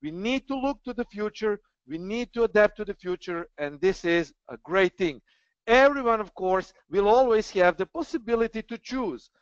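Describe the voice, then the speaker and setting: A man's voice: sits high, expressive, normal speed, clean tone, no echo. A man hosting an online trading webinar, talking in an earnest, persuasive way with frequent hesitations. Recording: dull, dry online webinar audio.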